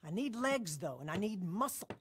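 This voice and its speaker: A woman's voice: mid-range voice, big pitch swings, moderate pace, husky. A woman playing a weathered older American frontier moonshiner in a video game, blunt, dry and defiant. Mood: determined. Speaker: game character actor. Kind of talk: video game cutscene acting.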